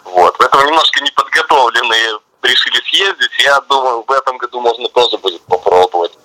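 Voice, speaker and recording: A man's voice: medium pitch, lively intonation, brisk, bright, forceful tone, low-quality recording. A man from Belarus, a musician, talking casually and a little hesitantly as a guest in a radio interview. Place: radio studio.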